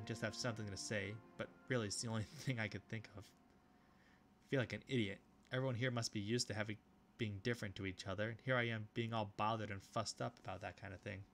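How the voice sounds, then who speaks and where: A man's voice: lowish voice, a bit bright. A man casually reading a visual novel aloud and commenting as he plays, often restarting phrases. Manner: casual, with fillers and repeated restarts. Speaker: gaming YouTuber.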